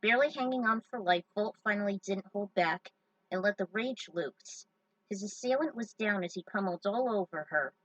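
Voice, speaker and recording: A woman's voice: mid-range voice, moderate pace, dry recording, far from the mic, rough recording. A woman reading a story aloud, breaking off for chatty, joking asides. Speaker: fan fiction reader. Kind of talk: story narration with casual asides.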